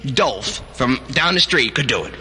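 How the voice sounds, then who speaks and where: A man's voice: mid-range voice, animated, measured pace, bright, forceful tone. An American man hosting as a 1970s radio DJ, bantering in a cocky, theatrical, teasing way. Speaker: radio DJ and show host. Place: radio station studio. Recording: noisy 1970s radio aircheck tape.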